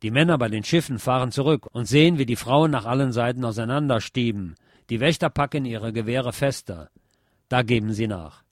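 A man reading scripted literary narration as a radio presenter, in a measured, deliberate, declamatory manner. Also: mid-range voice, dry recording, clean studio sound.

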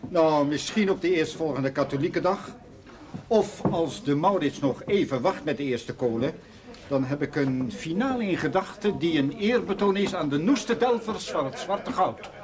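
An actor playing a modest, gentle, fatherly man in a 1970s television drama. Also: on the high side, expressive, moderate pace, crowd noise, close to an everyday voice. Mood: modest, pleased.

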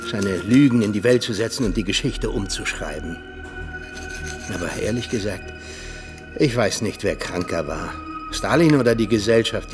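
An actor playing an embittered, world-weary older Soviet ex-agent and mentor in a radio drama, speaking emphatically. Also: male voice, fairly low pitch, natural voice. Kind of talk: radio drama acting, monologue-like confession.

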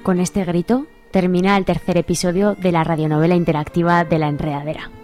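A woman's voice: on the low side, little pitch movement, brisk, a little mellow, faint music behind. A woman with an accent from Spain giving scripted narration for a radio drama.

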